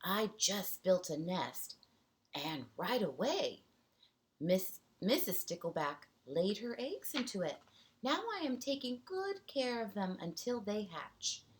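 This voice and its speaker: A proud new father fish from a picture book, read by a woman. He sounds cheerful and pleased, with an animated, unhurried delivery. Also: medium pitch. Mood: delighted, proud.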